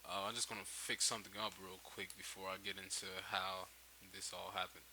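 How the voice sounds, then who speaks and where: A man with a fairly low but very bright, cutting voice talks through a tutorial. He is informal and thinks out loud as he goes. The home recording carries a faint hiss. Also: flat delivery, brisk. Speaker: game-making tutorial host. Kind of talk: casual screen-recorded tutorial narration. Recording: hissy home microphone recording.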